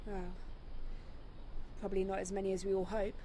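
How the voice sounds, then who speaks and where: A woman playing a supportive, gently teasing young apocalypse survivor who reassures people, in a radio drama. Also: medium pitch, level tone, moderate pace, a little hiss or hum, natural voice. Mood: reassuring.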